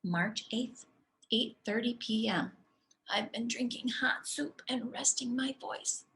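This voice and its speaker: A woman teacher reading a children's storybook aloud expressively, speaking to young listeners in an animated, demonstrative way. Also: on the high side, takes it slowly, creaky, low-quality recording.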